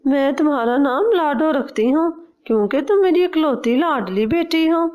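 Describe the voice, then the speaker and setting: A woman's voice: high-pitched, expressive, moderate pace, darkish tone, dull audio, pitched above the performer's own voice. A woman voicing a possessive, scheming mother bird in a cartoon, with an anxious, calculating manner. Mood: doting.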